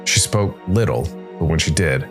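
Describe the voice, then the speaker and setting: A man's voice: a bass voice, expressive, moderate pace. A man hosting a story podcast, reading scripted narration. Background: music.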